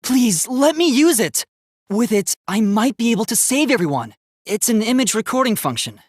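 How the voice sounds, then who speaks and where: A man's voice: extremely high pitch, sing-song, moderate pace, no room sound, studio quality, heavily acted. A man voicing an earnest, polite young robot student in a video game, with formal, precise, logical speech that gets easily flustered. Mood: urgent, insistent.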